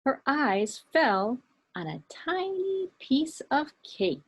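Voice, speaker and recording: A woman, a children's librarian, telling a story with puppets for kids. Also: high-pitched. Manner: animated, slow and expressive storytelling.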